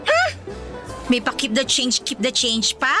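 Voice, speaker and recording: An actress playing a sassy, protective young best friend in a radio romance drama, with an exaggerated, teasing and scolding manner. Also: female voice, high-pitched, animated, measured pace, over music.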